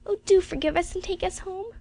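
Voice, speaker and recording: A tearful little girl from a storybook, put on by a woman reader, pleading breathlessly in a high voice. There is a gravelly crackle at the bottom of her voice. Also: a little fast, warm tone.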